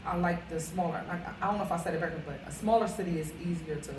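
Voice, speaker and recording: Woman guest, caterer, rambling casual talk; lowish voice, roomy, noisy, off-mic recording. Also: very fast, distant mic. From Philadelphia. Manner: chatty, rambling, with many fillers. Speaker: caterer and realtor, interview guest. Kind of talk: casual interview conversation. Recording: echoey room, off-mic, noisy.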